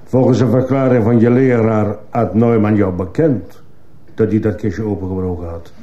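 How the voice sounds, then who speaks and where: A man's voice: on the low side, fairly even intonation, moderate pace, warm tone, natural voice. A man playing a stern, probing judge who fires insistent questions in a radio courtroom drama. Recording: muffled, hissy old radio play recording.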